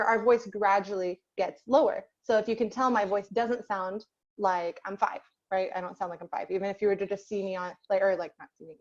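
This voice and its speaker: A woman's voice: medium pitch, a little mellow. A young adult woman teaching an online singing class to children, chatty and encouraging with many fillers, heard over a video call. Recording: dull lo-fi video call audio.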